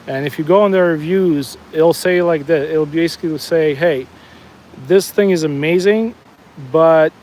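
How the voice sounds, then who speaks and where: Chatty New Jersey-accented man, slightly high. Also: darkish tone, a little hiss or hum, poor audio. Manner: chatty, answers viewer comments, frequent restarts. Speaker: fishing livestream host. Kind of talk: casual live-stream chat while fishing. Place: outdoors at a fishing spot, walking the bank.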